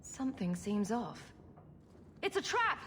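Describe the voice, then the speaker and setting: A woman's voice: fairly high pitch, sing-song, slow, fairly bright tone, slight background noise, full character voice. An actress playing a bold female adventurer in a fantasy game, with a wry, direct way of talking. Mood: alert. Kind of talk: dramatic game dialogue.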